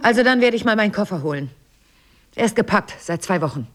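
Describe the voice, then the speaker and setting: An actress playing a jealous, doubting and remorseful wife in a German radio drama, with an emotional, pained delivery. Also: female voice, mid-range voice, lively intonation, fast talker, lightly acted. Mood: remorseful, resigned.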